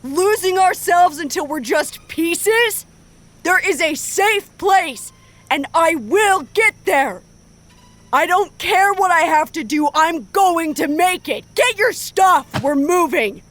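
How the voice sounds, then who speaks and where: An actress playing a determined, bossy leader of a wandering group in an audio drama, with a commanding, insistent delivery. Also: female voice, very high voice, expressive, slow, a bit bright, heavily acted. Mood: defiant. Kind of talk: audio drama acting with narration.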